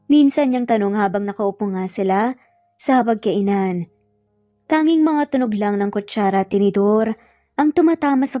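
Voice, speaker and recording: A woman's voice: a little high, warm tone, no echo. A woman with a Filipino accent reading a scripted dramatic story as a storyteller. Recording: dull lo-fi audio, music behind. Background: background music.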